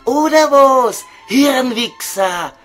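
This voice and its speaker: A man's voice: very high-pitched, expressive, a bit bright, soft background music, full character voice. A man voicing a crude, scheming devil who taunts and coaxes in Viennese dialect in a comic radio play. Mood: taunting. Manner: slow, sly, vulgar coaxing.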